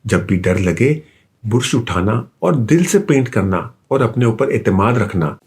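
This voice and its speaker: A man voicing a gentle, encouraging magical female cat in a children's storybook, reassuring like a wise mentor. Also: fairly low pitch, animated, a little slow, breathy edge, full character voice.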